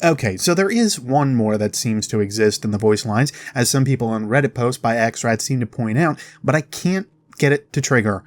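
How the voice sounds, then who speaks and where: A man hosting a game lore video, delivering narrated commentary directly to viewers with dramatic pauses. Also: medium pitch, lively intonation, no echo. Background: background music.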